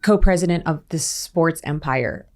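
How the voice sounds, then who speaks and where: Upbeat lady host, lowish voice, gushing and bubbly with her guest. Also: a little slow, darkish tone. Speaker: podcast host. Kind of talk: casual interview hosting.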